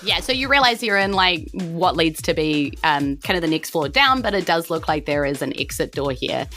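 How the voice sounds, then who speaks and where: Woman, New Zealand accent, lower pitch, game master, halting casual narration, soft music behind. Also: expressive. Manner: informal, loose, halting. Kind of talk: improvised tabletop game narration.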